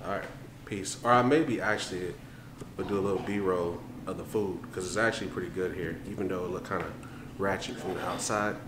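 Male American vlogger, lowish voice, relaxed casual chat to camera, noisy location sound. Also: fairly quick. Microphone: handheld camera at arm's length.

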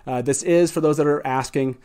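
A man's voice: fairly high pitch, steady tone, a little fast, dark tone, clear, resonant tone. A man, hosting a show and talking casually into a studio microphone. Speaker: show host. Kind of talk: casual talk introducing a reading. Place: small wood-panelled studio. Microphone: close studio microphone on boom arm.

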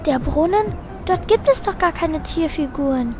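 A woman's voice: high voice, animated, moderate pace, background noise. A woman playing an easygoing, eager and naive young boy detective in an audio drama. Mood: doubtful.

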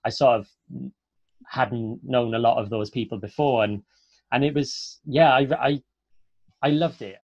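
A young man speaks with a Welsh accent in a relaxed, rambling way, full of fillers and restarts. He is on a video call through an earphone mic, so the sound is dry and a little dull. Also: medium pitch, a little slow, pauses often, no room sound. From Wales. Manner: chatty, many fillers and restarts. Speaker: actor, guest speaker. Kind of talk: casual video-call interview.

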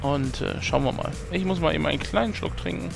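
Hoarse man chatting over gameplay. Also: fairly high pitch, fast, music in the background. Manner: chatty, self-mocking, thinks aloud with fillers. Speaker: let's play host. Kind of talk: casual gameplay commentary.

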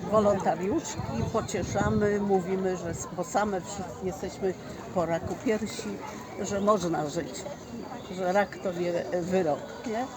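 A woman giving a spontaneous, halting and plain-spoken interview answer. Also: mid-range voice, steady tone. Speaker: hospital volunteer. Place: outdoor volunteering fair in a park. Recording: loud outdoor fair crowd noise.

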